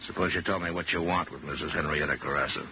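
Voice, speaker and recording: An actor playing a curt, sardonic, menacing gangster boss in a 1950s radio detective drama, talking tough and mock-polite. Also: male voice, extremely low pitch, steady tone, fast. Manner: gruff, terse, mock-polite tough talk. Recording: muffled, noisy vintage radio recording.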